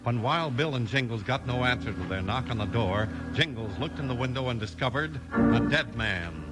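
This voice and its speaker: A man's voice: mid-range voice, lively intonation, normal speed, loud music behind the voice, rough recording. A man narrating a scripted story recap as the announcer of a 1950s radio drama. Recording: old radio recording.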